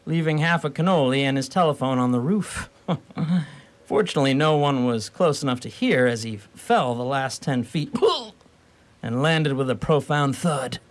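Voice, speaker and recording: A man's voice: medium pitch, moderate pace. A man narrating a scripted comic radio story in a wry, mock-formal way.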